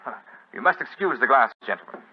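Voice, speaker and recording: An actor playing a devious English expatriate in a 1940s radio drama, affecting nonchalance with a hesitant, excitable manner. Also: male voice, medium pitch, fast, slight background noise. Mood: nervous nonchalance. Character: smooth, devious English expatriate deserter posing as an heir. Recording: muffled old radio recording.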